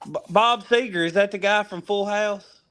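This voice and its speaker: A man's voice: sits high, normal speed, a bit bright, smooth. A man in his thirties chatting casually and teasing the others with jokes. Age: 30s. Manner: teasing, joking. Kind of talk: casual chat.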